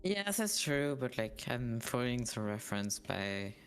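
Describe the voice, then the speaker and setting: A man's voice: medium pitch, sing-song, unhurried, fairly bright tone, clean tone, faint music behind. Easygoing male co-streamer. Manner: easygoing, brief replies. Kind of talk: casual livestream chat.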